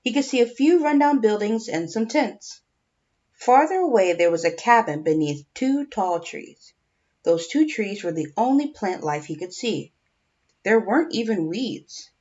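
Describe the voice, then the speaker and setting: A woman's voice: medium pitch, expressive, a little slow, darkish tone. A woman reading a children's novel aloud as scripted audiobook narration, on a lo-fi home recording. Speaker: audiobook reader.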